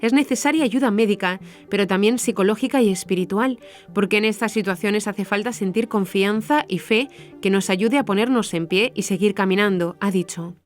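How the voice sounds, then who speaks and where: A woman from narrates the news from a script. Her pitch is average for a woman, and there is faint music behind her. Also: moderate pace. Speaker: news presenter. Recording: music under the voice.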